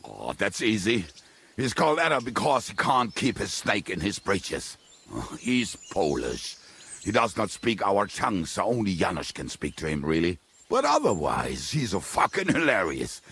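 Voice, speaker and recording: A roguish medieval mercenary for a video game. His voice is low and gravelly, and it swings widely up and down as he talks. He is blunt, colourful and jovial in a wry, foul-mouthed way. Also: huge pitch swings, normal speed, fairly bright tone, heavily acted. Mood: amused. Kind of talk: dramatic video game dialogue.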